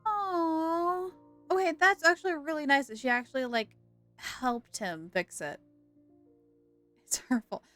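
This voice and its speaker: Casting: female game streamer, higher voice, casual playful commentary, giggly, game music behind. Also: unhurried, pauses often, fairly bright tone, no echo. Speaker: game livestreamer. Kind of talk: casual reactive livestream commentary.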